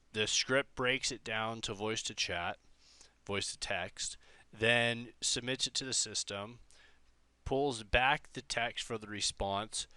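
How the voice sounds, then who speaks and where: A man's voice: fairly low pitch, bright, forceful tone, smooth, no echo. A man presenting a tech demo in a casual, informal way, thinking aloud as he goes. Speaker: presenter demonstrating an AI prototype.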